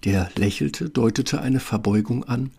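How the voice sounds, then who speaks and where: A man's voice: medium pitch, a little slow, gravelly, warm tone, a little hiss or hum. A man reading a scripted fairy-tale narration for an audio play.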